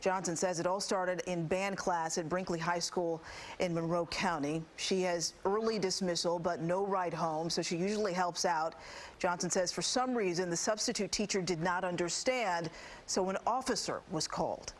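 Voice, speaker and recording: A woman's voice: lowish voice, fairly even intonation, normal speed. A woman with an American accent reading scripted news as an anchor, in a formal, polished broadcast delivery. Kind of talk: scripted TV newscast narration.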